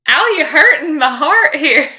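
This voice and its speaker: A woman's voice: sits high, sing-song, unhurried, crowd noise. A woman who hosts a podcast, heard on a slightly lo-fi recording. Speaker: podcast host. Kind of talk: casual banter.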